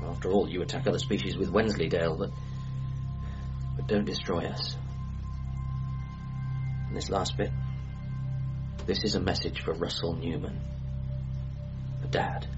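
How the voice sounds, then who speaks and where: Earnest, low-voiced grieving son. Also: male voice, steady tone. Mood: heartfelt, sad. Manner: sincere, halting, wry. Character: earnest, grieving grown son pleading for humanity. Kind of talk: radio comedy drama monologue. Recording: muffled broadcast with music underneath.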